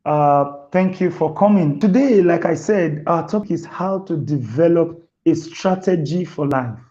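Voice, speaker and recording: A man hosting a live motivational talk show, speaking slowly and deliberately. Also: on the high side, warm tone, muffled recording. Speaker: host.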